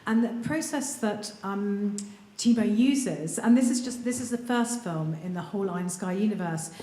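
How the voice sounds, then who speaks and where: A woman's voice: medium pitch, moderate pace. Woman moderator in an echoey hall. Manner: warm introductions with many ums. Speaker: panel moderator. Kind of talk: introducing speakers. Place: large event room. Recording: roomy hall with faint ambience.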